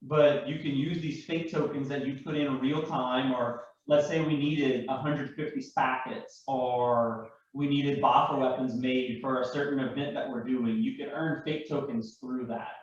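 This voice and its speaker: A man's voice: medium pitch, steady tone, unhurried, hardly any pauses. A man chatting casually and enthusiastically as he explains game rules, rambling and correcting himself. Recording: echoey room, distant mic, muffled sound.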